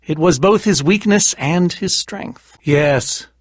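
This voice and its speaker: A man's voice: on the high side, takes it slowly, dry recording, studio quality. A man playing a boastful yet nervous, evasive adventurer in a video game, wordy and theatrical, stammering when flustered. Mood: wry, knowing. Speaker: voice actor in a story video game. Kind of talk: animated video game dialogue.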